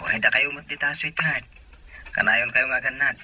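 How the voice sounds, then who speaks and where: An actor playing an earnest, protective young seafaring sweetheart speaking over the phone in a radio drama. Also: male voice, mid-range voice, fairly even intonation, moderate pace, pushed and bright, breathy edge. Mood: affectionate.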